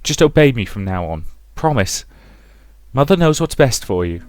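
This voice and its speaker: A man's voice: medium pitch, sing-song, normal speed, clean tone, pitched above the performer's own voice, lightly acted. A man voicing an abusive, volatile mother in a visual novel, with theatrical, emphatic delivery. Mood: tender, controlling. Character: abusive mother, volatile, domineering, cloying when remorseful. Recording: music behind the voice.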